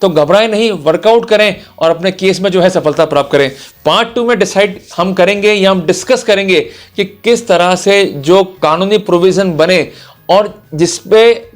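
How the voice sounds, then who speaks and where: A man's voice: high-pitched, moderate pace. A man giving an explanatory lecture as a lawyer, speaking emphatically and instructively straight to the listener. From India. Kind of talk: explanatory legal lecture for online viewers.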